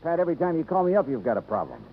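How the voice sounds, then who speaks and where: An actor playing a wisecracking, emphatic insurance investigator in a 1950s radio detective show. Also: male voice, on the high side, huge pitch swings, racing, gravelly, full character voice. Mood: bantering, amused. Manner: bantering, emphatic. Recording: noisy narrow vintage radio recording.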